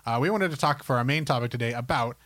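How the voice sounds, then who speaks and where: A man's voice: mid-range voice, quick pace, fairly bright tone, no echo. A man co-hosting a podcast, chatting casually and informally in a rambling way about films and games. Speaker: podcast co-host.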